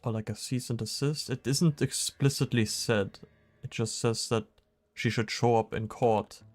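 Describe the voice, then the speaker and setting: A game streamer talks casually in a mid-pitched voice with music playing underneath. The delivery is jokey and conversational, thinking aloud while playing. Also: steady tone, a little slow, darkish tone, no room sound.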